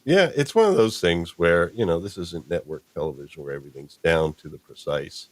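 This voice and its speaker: Low-pitched man hosting, playful and rambling. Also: lively intonation, a little fast. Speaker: show host. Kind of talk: casual improvised livestream chat.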